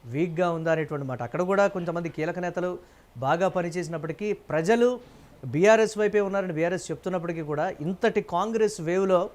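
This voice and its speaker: A man's voice: high-pitched, a little fast, a little mellow. A man presenting live news and putting questions as an anchor.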